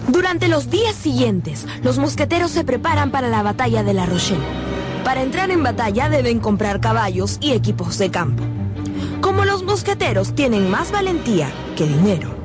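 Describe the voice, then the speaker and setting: A woman's voice: a little high, very animated, moderate pace. A woman delivering scripted dramatic narration for a radio drama. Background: music.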